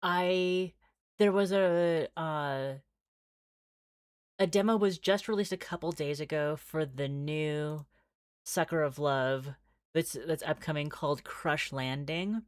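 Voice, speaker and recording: A woman game streamer chatting casually as she plays, halting and thinking aloud. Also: sits low, a little mellow, no echo. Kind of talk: casual livestream chat while playing visual novels.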